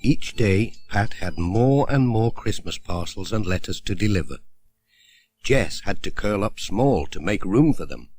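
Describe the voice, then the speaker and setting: A man's voice: lowish voice, lively intonation, measured pace, darkish tone. A man narrating a children's story from a script, as an audiobook reader.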